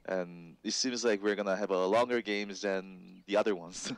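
Male co-caster, lowish voice, halting with fillers. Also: fairly even intonation. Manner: halting, many uh fillers, laughs between points. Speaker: esports co-commentator. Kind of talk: esports draft analysis.

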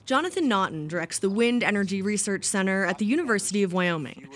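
A woman's voice: mid-range voice, expressive, fast. Woman reporter narrating a news feature.

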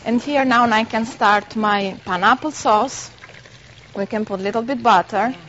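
A middle-aged woman chef, demonstrating a recipe on a TV cooking segment and pausing to search for words. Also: fairly high pitch, a bit bright. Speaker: restaurant chef. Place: TV studio kitchen set. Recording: muffled TV broadcast audio with background hiss.

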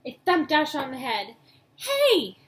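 A young woman reading a children's book aloud in a storytelling style, acting out dialogue and pausing to point out pictures. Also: on the high side, lively intonation, a bit bright. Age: young adult. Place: small room at home, indoors. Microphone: webcam or laptop mic at arm's length. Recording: noisy home webcam recording.

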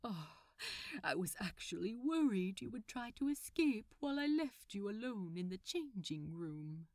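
Storybook villain: polite, smitten gentleman played by a woman, coy and unhurried. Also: mid-range voice, big pitch swings, no echo, studio quality. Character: genteel, coy male supervillain, affectionately smitten.

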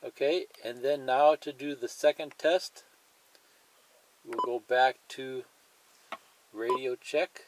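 A man's voice: mid-range voice, steady tone, slow pace, long pauses, background noise. A man presenting a hands-on tutorial in a plain, methodical way, explaining each step and repeating it.

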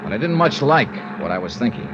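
A man's voice: medium pitch, sing-song, quick pace, noisy background, lightly acted. An actor playing a wry, confident insurance investigator in a 1950s radio drama, wisecracking and clipped as he acts and narrates. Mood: dry, narrating. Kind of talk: 1950s radio detective acting with first-person narration. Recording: muffled vintage 1950s radio recording, organ music.

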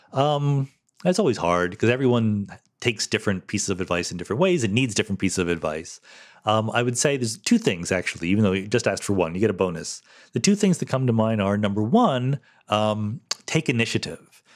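A middle-aged man hosting a solo listener-question podcast, explaining science in a thoughtful, conversational way and checking in with "right?" and "okay?". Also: fairly low pitch.

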